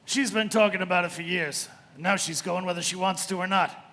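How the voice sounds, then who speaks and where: An actor playing an ailing, anxious working-class garage owner, pleading and bitter, in a live radio play. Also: male voice, high voice, fairly even intonation, brisk, sharp tone. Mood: desperate, uneasy. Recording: reverberant hall of a live performance.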